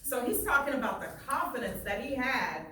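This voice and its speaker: A woman's voice: on the high side, animated, fairly quick. A woman teacher reading a book aloud, stopping to explain passages plainly and check that listeners understand. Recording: very echoey room, distant, faint noise.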